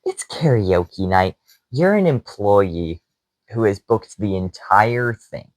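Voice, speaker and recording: A young man tells a story on a podcast in an animated, chatty way. His pitch swings widely as he gets into it. The sound is dry and close. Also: slow pace, no room sound. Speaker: podcast co-host. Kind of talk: casual chat.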